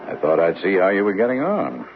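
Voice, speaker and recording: A man's voice: sits low, huge pitch swings, a little fast. An actor playing a seasoned, firm detective agency boss in a radio drama, middle-aged, with an authoritative, folksy, fatherly way of lecturing. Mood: wry, steady. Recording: dull radio broadcast recording with music.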